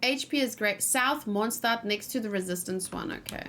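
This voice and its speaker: Woman, game streamer, mid-pitched voice, casual thinking-aloud chat, muttered asides, faint noise with typing and clicking. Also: moderate pace, fairly bright tone. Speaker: gaming streamer, host. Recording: noisy, with keyboard typing and clicking. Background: background noise, computer keyboard and mouse clicks.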